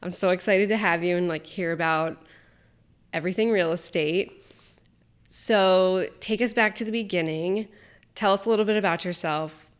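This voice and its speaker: Smooth, low-voiced woman hosting a podcast. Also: normal speed. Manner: chatty, fills questions with "like". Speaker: podcast host. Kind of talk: casual interview.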